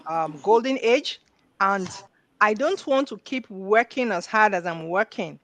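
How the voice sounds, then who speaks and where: A woman's voice: medium pitch, expressive, a little slow, fairly bright tone. A middle-aged woman guest earnestly telling her own story in an interview.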